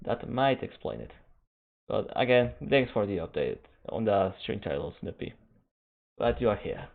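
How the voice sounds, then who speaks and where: Man, second-language English, esports stream host, casual chat, halting phrasing, thin phone-like audio. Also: fairly low pitch, animated, creaky. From Canada. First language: Spanish. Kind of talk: casual stream chat while waiting for a match. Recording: narrow phone-like band.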